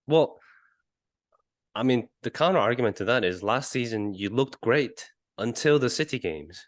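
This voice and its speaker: A man co-hosting a casual football podcast, reasoning in a measured, halting way. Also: mid-range voice, expressive, dry recording, clean studio sound. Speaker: podcast co-host.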